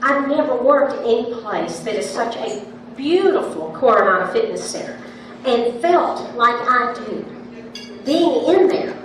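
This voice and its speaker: A woman's voice: on the high side, expressive, unhurried. Impassioned woman with a big voice, echoey hall. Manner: impassioned, insistent, repeats "okay". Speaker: resident speaking at a town hall. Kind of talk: public comment at a meeting. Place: large echoey meeting room. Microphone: handheld microphone from a distance. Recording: echoey room, distant mic, background noise.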